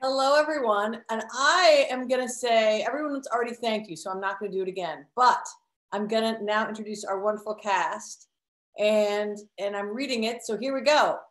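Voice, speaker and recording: A woman's voice: on the high side, normal speed. A woman director casually making introductions on an online video call. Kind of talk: casual announcing. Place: indoor room, on a group video call. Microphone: video-call microphone. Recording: roomy, echoey video-call audio.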